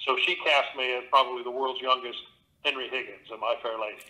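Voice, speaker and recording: A man's voice: medium pitch, steady tone, fast, bright, forceful tone, low-quality recording. A middle-aged man, an actor and audiobook narrator, talking casually in an interview from his home studio with a theatrical, expansive manner.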